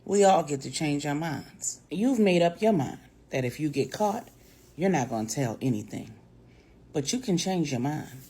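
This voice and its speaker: A woman storyteller voicing a calm, devout, quietly steely woman who chides gently and speaks with assurance. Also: deep voice, moderate pace, husky, heavily acted. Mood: calm, assured.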